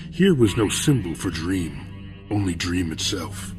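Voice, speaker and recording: An actor playing a serene, philosophical serial killer who narrates horror fiction in measured, florid, formal phrases. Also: male voice, low-pitched, big pitch swings, over music. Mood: brooding, reverent.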